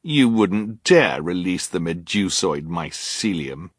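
A man's voice: deep voice, lively intonation, slow, no room sound, clean studio sound, heavily acted. A man voicing an earnest, reasoning young boy from a storybook, emphatic and nervously defiant.

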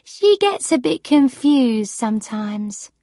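A woman's voice: fairly high pitch, very animated, slow pace, dark tone, no room sound, pitched above the performer's own voice, exaggerated voice. A woman voicing a caring, reassuring granddaughter in a storybook, in a raised, explaining manner.

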